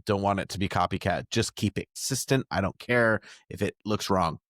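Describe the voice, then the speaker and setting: A man's voice: sits low, a little fast, fairly bright tone, no echo, clean studio sound. An American man hosting a podcast, talking through topics in a casual discussion. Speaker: podcast host.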